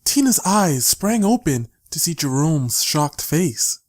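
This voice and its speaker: A man's voice: fairly high pitch, very animated, slow. A man reading scripted story narration as the narrator of an audiobook.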